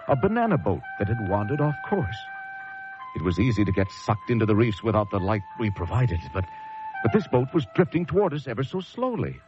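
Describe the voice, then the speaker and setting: An actor playing a haunted former lighthouse keeper, telling a horror story with suspenseful pauses in a radio drama. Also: male voice, mid-range voice, close to an everyday voice. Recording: muffled old radio broadcast over loud music.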